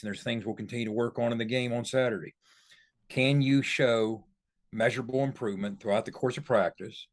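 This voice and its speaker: A man giving conversational football analysis on a podcast, making his points emphatically. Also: on the low side.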